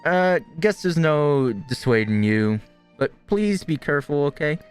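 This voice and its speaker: Guy doing a little worried game sprite, high voice, childlike n hesitant, chatty. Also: animated, measured pace, clear, resonant tone, soft background music. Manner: hesitant, fretful.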